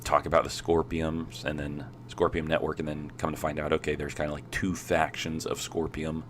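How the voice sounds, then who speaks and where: A man with a very deep, low voice, thick with congestion from a cold. He talks in a flat, even, laid-back way as a podcast co-host recapping a game's story. Faint background noise sits under the recording. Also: normal speed. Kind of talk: casual chat.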